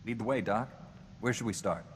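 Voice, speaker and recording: A sardonic captain, voiced by a man in a sci-fi video game, with a very deep voice. He delivers dry quips with deadpan calm. A long reverb and faint ambience hang around each line. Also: brisk, a little hiss or hum, lightly acted. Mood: wry.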